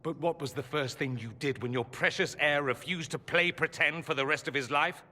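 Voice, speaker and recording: A man's voice: on the high side, moderate pace, bright, forceful tone, long reverb tail. An actor playing a proud, sardonic young nobleman mage in a video game, articulate and theatrical, with a wounded, defiant edge. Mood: hurt, defiant. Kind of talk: dramatic video game voice acting.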